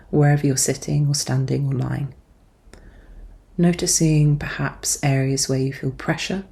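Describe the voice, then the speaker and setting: A woman speaks in a deep, relaxed voice with a dark, warm tone. She guides a meditation as a therapist would, gently and soothingly. Her delivery is measured and calm, giving each instruction softly.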